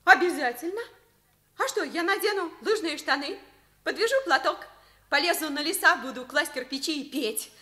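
A woman's voice: sits high, lively intonation, moderate pace, bright, forceful tone. An actress playing an eager, bossy, dreamy teenage schoolgirl in a Soviet radio play.

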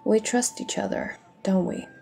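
A woman voices a female pirate captain in an audio roleplay, proud and hurt. She speaks deliberately and intensely, with a gravelly creak and a mellow, dark tone. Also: medium pitch, sing-song, faint music behind. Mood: quietly probing. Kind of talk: dramatic audio roleplay acting.

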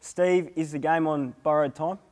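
A man's voice: fairly high pitch, steady tone, normal speed, a little mellow, in a large hall. A young Australian man hosting a casual sports show in a studio, with an upbeat, jokey manner. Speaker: show host. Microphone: lapel.

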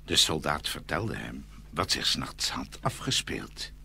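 A man's voice: a bass voice, big pitch swings, a little slow, vocal fry, sharp tone, slight background noise. A man narrating a scripted fairy tale as a dramatic storyteller.

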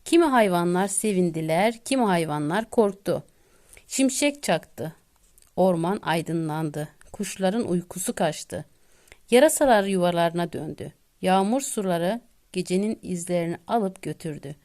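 A woman narrating a children's bedtime tale as a storyteller, delivering it deliberately in short phrases with pauses. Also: lowish voice, expressive, a little mellow.

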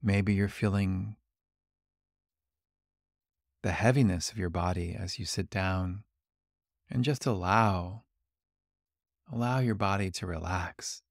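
Slow, low-voiced man guiding meditation. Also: creaky, dry recording, clean studio sound. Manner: gentle, calm, unhurried instruction with long pauses. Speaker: meditation guide. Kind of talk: guided body scan meditation.

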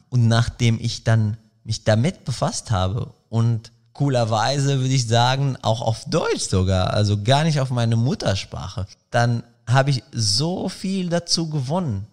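A man, hosting a solo podcast in an earnest, reflective way, often searching for words. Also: medium pitch. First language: Arabic. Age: 30s. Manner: earnest, searching for words, many fillers and restarts. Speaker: podcast host.

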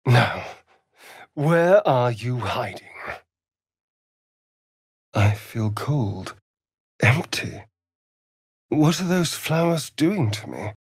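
A flamboyant male vampire rogue for a fantasy video game. He speaks in an aristocratic British accent, arch and sardonic, stretching his words at a leisurely pace with swooping, highly varied intonation. The sound is close and dry. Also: very animated, extremely slow, long pauses, husky, dry recording, heavily acted. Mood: bleak. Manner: arch, theatrical, drawling, aristocratic delivery. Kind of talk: theatrical game character dialogue.

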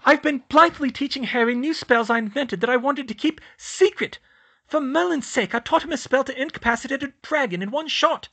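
A sharp-tongued teenage witch girl with a tight, bright, high voice, put on by a male reader. She pushes her words out loudly, and her pitch leaps and dips with every thought. This is a storybook reading. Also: very animated, normal speed, exaggerated voice. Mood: outraged. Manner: high, strained, outraged outbursts. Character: young teen witch girl, clever, anxious, quick-tempered.

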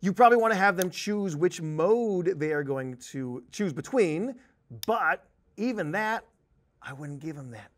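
A man in his thirties presenting a software tutorial in an animated, conversational, emphatic manner. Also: high voice, unhurried. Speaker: software trainer. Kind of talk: tutorial presentation with on-screen demonstration. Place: indoor presentation studio at a desk.

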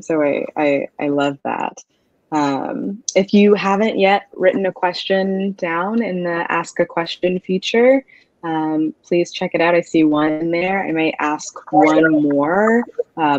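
A thoughtful woman interviewer in her thirties asks careful questions from a home office, pausing on "um"s. Her video-call audio is dull and very dry, with little room sound. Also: lowish voice, a little mellow, no echo. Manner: thoughtful, measured, with "um" fillers. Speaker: interviewer, event host. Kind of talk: interview conversation.

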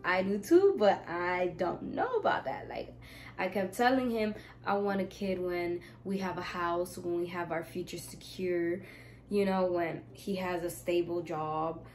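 A woman in her twenties from Miami, telling a casual storytime from her bedroom, animated, swinging from loud exclaiming to hushed asides. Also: lowish voice, unhurried, a little hiss or hum. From Miami, United States. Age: 20s. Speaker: vlogger. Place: bedroom, indoors. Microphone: camera in front, seated.

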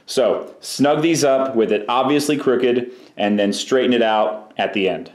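A man's voice: mid-range voice, a little slow, reverberant, slight background noise. A man explaining a bike repair step by step in a casual, practical way, in his workshop. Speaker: bike mechanic. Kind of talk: hands-on repair tutorial.

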